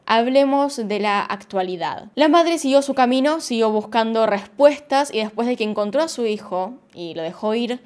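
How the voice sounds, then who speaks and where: Young Argentine woman, YouTube host, direct and earnest true crime narration. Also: on the high side. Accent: Argentine Spanish. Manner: earnest, direct, addresses viewers.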